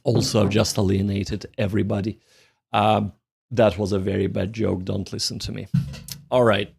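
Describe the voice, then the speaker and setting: Gravelly-voiced man casually streaming, close and dry. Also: fairly low pitch, level tone, normal speed, a little mellow, no room sound. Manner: self-deprecating, joking, thinking aloud. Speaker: livestream host, language learner. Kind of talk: casual livestream study commentary.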